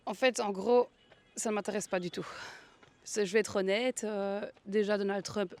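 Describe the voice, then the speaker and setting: A woman's voice: medium pitch, steady tone, moderate pace. A woman from Belgium chatting casually as an interviewee, candid and a little dismissive. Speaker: passer-by being interviewed. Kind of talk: casual chat.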